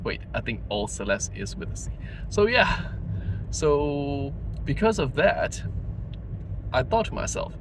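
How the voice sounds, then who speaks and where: A man's voice: fairly high pitch, big pitch swings, a little slow, long pauses. A man vlogging off the cuff from his car at night, rambling with many fillers. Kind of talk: unscripted casual vlog while driving. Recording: clear car noise underneath. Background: car engine and road noise.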